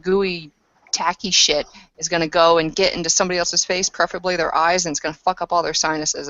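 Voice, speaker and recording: A woman with a slightly low voice chats in character during a roleplaying game. She is blunt and casual and swears freely. The sound is dull and lo-fi. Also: a bit bright, no room sound.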